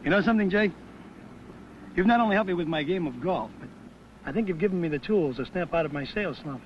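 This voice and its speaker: A man's voice: on the high side, animated, fast talker, close to an everyday voice. A man playing an earnest, affable salesman in a 1970s sales training film, explaining things in an eager, folksy way. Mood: upbeat, relieved. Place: mostly outdoors on a golf course. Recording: muffled, very noisy 1970s 16mm film sound.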